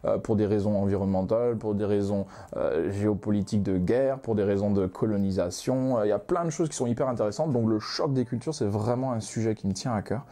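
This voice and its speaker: A young man from Ghana with a mellow, darker voice, chatting easily as a guest. He talks in loose, meandering stretches, full of small pauses and restarts. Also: on the low side. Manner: relaxed, many hesitations and restarts. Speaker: manga author guest. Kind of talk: casual podcast conversation.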